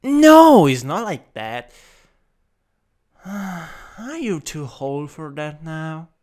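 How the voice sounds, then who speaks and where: A man with an Italian accent improvising ASMR as a teasing, domineering older stepbrother, in a halting, intimate, breathy voice that often drops to a whisper. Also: fairly high pitch, big pitch swings, darkish tone. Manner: slow, intimate, halting delivery. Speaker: ASMR roleplay performer. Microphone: close USB microphone.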